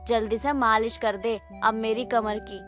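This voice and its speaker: A woman's voice: a little high, fairly even intonation, normal speed, fairly bright tone, natural voice. A woman voicing an exasperated, scolding middle-aged mother-in-law in a moral story cartoon, complaining and nagging. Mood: pained, pleading. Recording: music under the voice.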